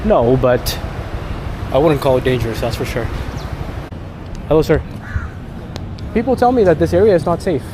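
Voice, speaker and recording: A man's voice: fairly high pitch, normal speed, pauses often, background noise. A Canadian man walking through a city market outdoors, chatting upbeat to his viewers as a travel vlogger. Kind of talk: handheld travel vlog narration.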